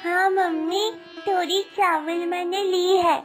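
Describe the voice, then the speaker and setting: Very high little village girl. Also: fairly even intonation. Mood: earnest, apologetic. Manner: innocent, earnest, slow. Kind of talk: Hindi cartoon moral story acting. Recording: music behind the voice.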